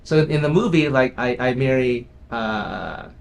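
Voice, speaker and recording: A man's voice: mid-range voice, lively intonation, moderate pace, creaky, a little hiss or hum. A man, a film company owner, chatting easily as an interview guest, chuckling often and restarting his sentences with "you know". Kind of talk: casual interview chat.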